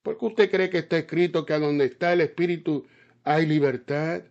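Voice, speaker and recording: A man's voice: a little high, normal speed, gravelly, a little mellow. A man preaching a fiery sermon, often stopping to ask whether his listeners understand, with stammered restarts and repeated words. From Caribbean island. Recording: slightly dull, lo-fi sound.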